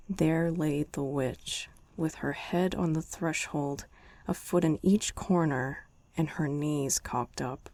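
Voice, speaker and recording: Slow, gravelly soft-spoken woman. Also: low voice, dry recording. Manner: soft, chatting to the listener between lines. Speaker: girlfriend in a roleplay. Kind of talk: ASMR bedtime story reading.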